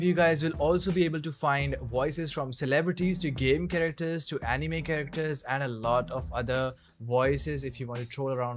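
A man narrating a software tutorial in an upbeat, salesy way as a video presenter. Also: medium pitch.

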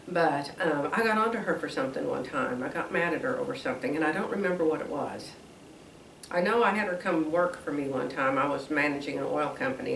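A woman's voice: low voice, fairly bright tone, a little hiss or hum. A woman in her sixties giving a casual devotional talk, a little wheezy from allergies, chatty and laughing often. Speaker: devotional host. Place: room with a quilt backdrop. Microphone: camera at a distance, standing at a lectern. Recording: roomy and distant.

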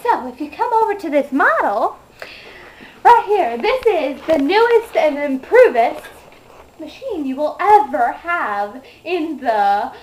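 A young woman playing a bubbly typewriter fairy making a sing-song sales pitch in an amateur mock infomercial. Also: big pitch swings, slow pace, a little mellow, exaggerated voice. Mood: bubbly, salesy. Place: small carpeted attic room, indoors. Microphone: handheld camera. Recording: echoey home room, camera mic.

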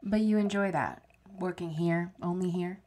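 A woman's voice: on the low side, normal speed, mellow, natural voice. An actress playing a bitter, wry woman kept alive as a severed head in an audio drama, questioning and plaintive. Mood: wry, curious.

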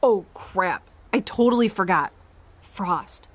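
A woman's voice: medium pitch, expressive, slow. An actress playing a stubborn, wry young woman in a space audio drama, with a casual, quippy delivery. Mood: stubborn, urgent.

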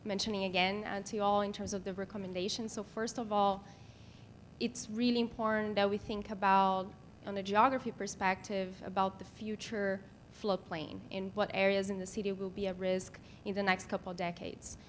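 A woman in her thirties giving a formal, explanatory slide presentation at a podium microphone in a public meeting room, speaking a little haltingly. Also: level tone. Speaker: city planner presenting. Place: meeting room in a civic building. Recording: clear background noise with low rumble.

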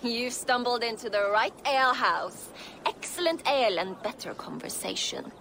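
A woman's voice: a little high, animated, moderate pace, background noise. A woman playing a flirtatious, sly female rogue in a video game, teasing and drawling. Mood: teasing, seductive. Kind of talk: dramatic video game cutscene acting.

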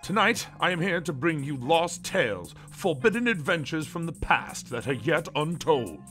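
A man's voice: on the high side, sing-song, moderate pace, a bit bright, soft background music. A man voicing an eccentric, obsessive old gnome who narrates a cartoon comic dub in a grandiose, dramatic way. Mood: theatrical, conspiratorial. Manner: grandiose, dramatic, storyteller phrasing.

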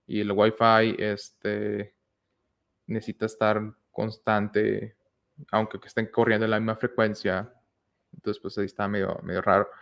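A young man giving a casual technical talk at an online meetup, pausing often with fillers and restarts, recorded on a headset mic in a small room. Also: on the low side, monotone, gravelly. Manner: hesitant, many fillers and restarts. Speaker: meetup speaker. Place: small room with whiteboard, indoors. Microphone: headset with boom mic.

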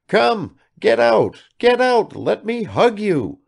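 A man's voice: a little high, sing-song, measured pace, gravelly, pitched above the performer's own voice, exaggerated voice. A man reading aloud and voicing a fussy, emotional elderly retired army father who tries to hide his feelings. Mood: overjoyed.

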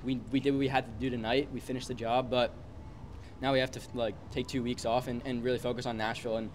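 Fast-talking young soccer player in a scrum. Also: male voice, mid-range voice, flat delivery. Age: 20s. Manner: quick, repeats words, casual fillers. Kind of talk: post-game media scrum. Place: busy stadium mixed zone, indoors. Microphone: reporters' phones and handheld mics held close. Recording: loud background chatter noise.

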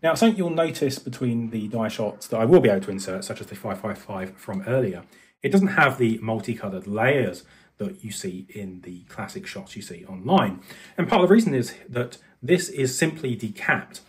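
A man's voice: lowish voice, animated, moderate pace. A man hosting an electronics video, narrating a hands-on demonstration at his desk, thinking aloud with fillers and self-corrections. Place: desk with microscope and monitor, indoors.

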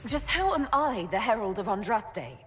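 A woman's voice: fairly high pitch, big pitch swings, moderate pace, big-room sound. An actress playing a wry female hero who talks in a dry, sarcastic way.

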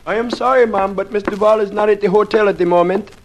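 An actor playing a genial, polite calypso singer with a formal, lilting delivery in an old radio drama. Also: male voice, sits high, fairly even intonation, quick pace, slight background noise, lightly acted. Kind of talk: 1950s radio acting, rhymed singing narration. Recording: old radio broadcast with loud music.

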